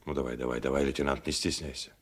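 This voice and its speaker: An admiral in a radio drama. His male voice is extremely deep. He is gruffly kind and paternal, giving informal commands and repeating his words. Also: level tone, fast talker. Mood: approving, coaxing. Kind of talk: radio play acting.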